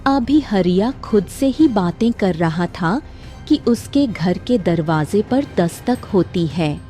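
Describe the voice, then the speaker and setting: A woman's voice: mid-range voice, animated, slow pace, warm tone, soft background music. A woman reading a scripted moral story as a dramatic narrator.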